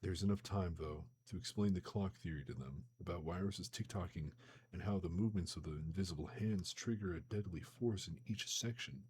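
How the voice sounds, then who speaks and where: A man with a slightly hoarse voice reading a novel aloud on a live stream, slipping into casual slangy remarks between passages. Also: low voice, fairly quick. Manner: reads steadily, breaks into excited slangy chat.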